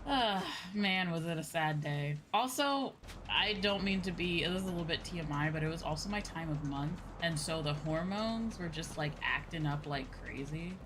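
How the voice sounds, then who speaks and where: A young woman chatting casually on a game livestream, rambling, expressive and informal, swearing freely. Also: low-pitched, normal speed, hardly any pauses, noisy background. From outside Japan. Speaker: VTuber game streamer. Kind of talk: casual livestream chat over a video game. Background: game music.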